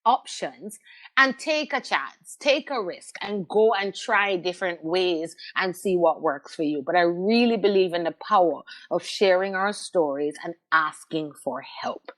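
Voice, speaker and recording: A woman's voice: fairly low pitch, animated, unhurried, fairly bright tone. A middle-aged woman, speaking as an interview guest in an emphatic, conversational way, repeating phrases for stress. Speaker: podcast guest, radio host.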